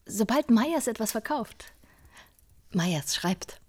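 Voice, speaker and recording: An actress playing a polite middle-class wife making courteous conversation in a naturalistic radio play. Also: female voice, a little high, lively intonation, takes it slowly. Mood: polite, conversational.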